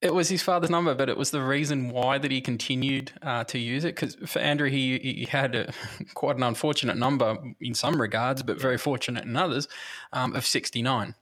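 A man's voice: medium pitch, fairly even intonation, fairly quick, fairly bright tone. A man hosting a podcast in casual panel chat, speaking hesitantly and restarting his phrases. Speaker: podcast host.

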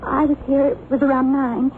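Actress as an anxious, high-voiced young daughter. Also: female voice, flat delivery, moderate pace, close to an everyday voice. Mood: worried. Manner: hesitant, pleading. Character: anxious, sweet young daughter, polite and fragile. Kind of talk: 1940s-50s radio drama acting. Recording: muffled, noisy old radio recording.

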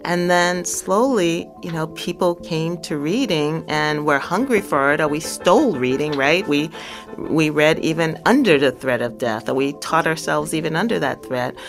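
A woman author from South Carolina speaking in a measured, thoughtful way, with soft laughs between phrases. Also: low-pitched, over music. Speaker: guest author. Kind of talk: reflective interview and talk excerpts.

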